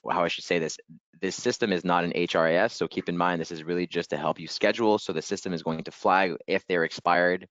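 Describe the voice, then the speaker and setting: A man leading a software training webinar in a casual, upbeat way, a little hoarse and clearing his throat. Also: low voice, steady tone, fast, no echo, lo-fi sound. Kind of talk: webinar screen-share walkthrough.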